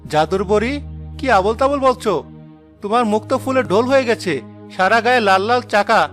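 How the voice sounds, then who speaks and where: A man's voice: high voice, brisk, a bit bright, faint music behind. A man playing a hardworking, hot-tempered village husband in a cartoon drama, exclaiming and firing off questions. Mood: shocked, accusing. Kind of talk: Bengali cartoon moral drama voice acting.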